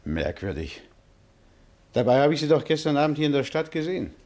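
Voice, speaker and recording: An actor in a 1950s German radio crime drama playing an anxious, hot-tempered working-class man who tells his story haltingly. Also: on the high side, sing-song, a little mellow. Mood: polite, insistent.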